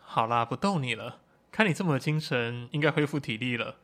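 A man's voice: medium pitch, lively intonation, brisk, fairly bright tone. A man voicing an easygoing young man who talks in a playful, teasing way.

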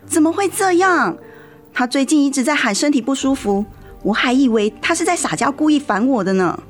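一位女演员在广播剧中饰演十七岁、爱赌气又叛逆的姐姐。. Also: female voice, high-pitched, animated, brisk, faint music behind. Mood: worried. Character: sulky, defiant seventeen-year-old girl, elder sister. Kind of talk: radio drama acting.

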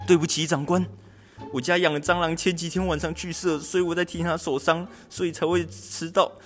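A young man plays a cheeky, lazy clerk of ancient China, fretful and exclamatory, with a fairly high male voice. It is amateur radio drama with music underneath. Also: little pitch movement, brisk, lightly acted. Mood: apologetic. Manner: exclamatory, pleading. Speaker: student voice actor in a radio drama.

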